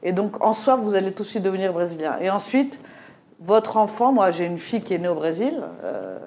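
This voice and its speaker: A woman's voice: medium pitch, sing-song, fairly quick, darkish tone. A middle-aged woman from Morocco with a slight Moroccan French accent, speaking in a panel discussion, hesitant with many fillers and restarts. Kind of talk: discussion talk.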